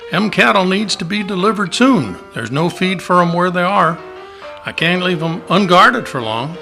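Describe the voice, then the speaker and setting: A man's voice: a little high, creaky, bright, forceful tone, full character voice. A man playing a harried, pushy cattle-drive cowboy with folksy Old West speech in an amateur radio play. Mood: worried, frustrated.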